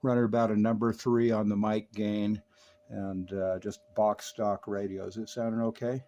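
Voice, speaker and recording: A man's voice: lowish voice, little pitch movement, moderate pace, mellow, dull audio. A man presenting a hands-on product demo with an enthusiastic manner. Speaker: host demonstrating radio gear.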